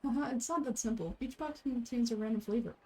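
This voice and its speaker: A woman's voice: a little high, fast, mellow, close to an everyday voice, rough recording. A woman voicing a friendly female candy vendor in a fantasy game, chatty and explanatory. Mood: friendly, inviting.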